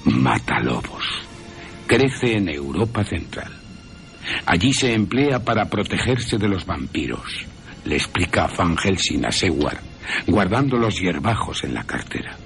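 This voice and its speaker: A man's voice: low-pitched, big pitch swings, a little slow, gravelly, a bit bright, soft background music. A man narrating a horror radio drama in a theatrical way, with wry asides.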